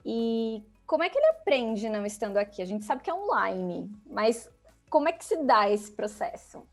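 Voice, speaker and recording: Brief: Brazilian woman moderator, slightly high voice, inquisitive, faint music behind. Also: big pitch swings, normal speed. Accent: Brazilian Portuguese. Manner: inquisitive, posing questions.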